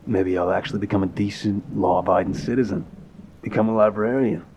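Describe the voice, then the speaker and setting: A man's voice: on the low side, fairly even intonation, a little mellow, a little hiss or hum, lightly acted. A man acting an apologetic, lovesick mafia boss in an intimate audio roleplay, speaking hesitantly and sincerely with sighs. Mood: hopeful, tender. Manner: halting, hesitant, sighing, sincere.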